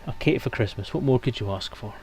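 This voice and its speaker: A man's voice: mid-range voice, fast, darkish tone. Casual male streamer over noticeable background noise. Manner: sweary, bantering. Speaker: stream host. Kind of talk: casual chat on a live stream.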